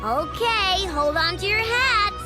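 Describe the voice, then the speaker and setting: A woman voicing an excitable, cocky young girl inventor in a video game, bubbly and boastful, full of exclamations. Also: very high voice, takes it slowly, over loud music, full character voice. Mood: cocky, carefree.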